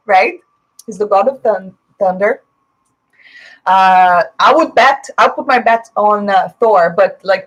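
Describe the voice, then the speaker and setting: A woman's voice: medium pitch, rough recording. A woman from Brazil whose first language is Portuguese, hosting a casual live stream, chatting and answering viewer comments with lots of fillers. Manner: chatty, opinionated, frequent fillers.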